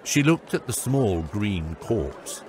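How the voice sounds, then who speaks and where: Low-pitched male fantasy narrator. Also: big pitch swings, slow, darkish tone, slight background noise. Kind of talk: scripted fantasy audiobook narration.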